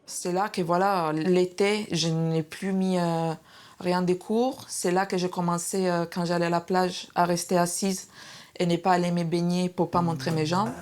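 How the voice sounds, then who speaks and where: A woman in her thirties from Sardinia with an Italian accent, talking haltingly in a documentary interview. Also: on the low side, flat delivery, darkish tone. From Sardinia, Italy. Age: 30s. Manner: hesitant, many restarts and fillers. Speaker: cosmetic surgery patient. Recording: music playing underneath.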